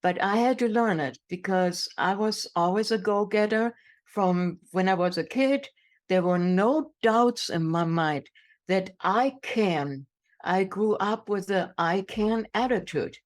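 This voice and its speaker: A woman's voice: medium pitch, expressive, unhurried. A woman in her sixties from Germany with a German accent, telling her own story emphatically as an interview guest, repeating words for effect. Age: 60s. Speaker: guest, inspirational speaker.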